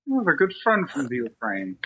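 A man playing a tabletop game, joking wryly and dryly. His voice is husky with a bright, forceful edge. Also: a little high, huge pitch swings, a little fast, dry recording. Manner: wry, dry joking. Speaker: player. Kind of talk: casual tabletop play.

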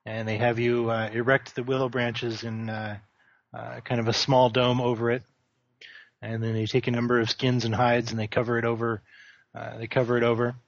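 A man running a tabletop game as game master, narrating with many pauses and fillers. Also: medium pitch, level tone, dry recording. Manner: halting, many ums and uhs. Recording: dry, dull lo-fi call audio.

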